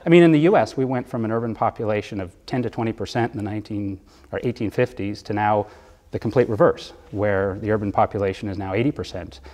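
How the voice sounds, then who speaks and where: A man being interviewed as a bond fund manager, explaining economics in a measured way with occasional fillers. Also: on the low side, darkish tone, long reverb tail.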